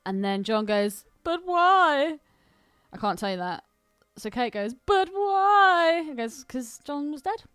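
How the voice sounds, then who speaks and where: A woman's voice: high voice, animated, slow pace, a bit bright, no echo, studio quality, soft background music. A British woman co-hosting a podcast in casual film banter, cheeky, blunt and sweary. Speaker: podcast co-host. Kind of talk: casual banter about films.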